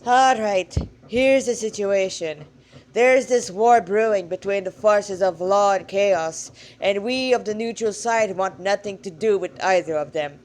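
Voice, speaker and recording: A gruff, proud skeletal god of old, as a woman puts him on while reading a story aloud. He speaks in a wobbly, half-kept Irish lilt, a touch louder than her narrating voice and a little higher. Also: unhurried, natural voice, rough recording. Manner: attempted Irish accent, wobbly and inconsistent.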